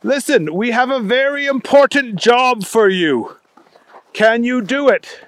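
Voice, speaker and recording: A man puts on an important British spymaster for a children's story. He is formal and courteous, with a secretive air. His speech is lively in pitch. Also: a little slow, no echo, heavily acted. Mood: pleased, businesslike.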